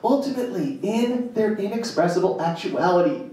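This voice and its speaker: A man giving a theatrical live spoken-word reading of a monologue, with emphatic dramatic pauses and a playful manner. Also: sits high, animated, warm tone. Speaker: reader performing a written monologue. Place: indoor jazz club stage, live event. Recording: very echoey live venue, distant mic, noisy.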